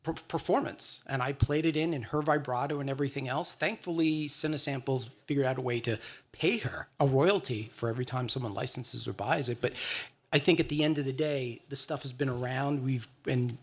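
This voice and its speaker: A man's voice: medium pitch, fairly even intonation, brisk. A man on a panel who works as a composer, explaining things eagerly. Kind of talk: panel discussion.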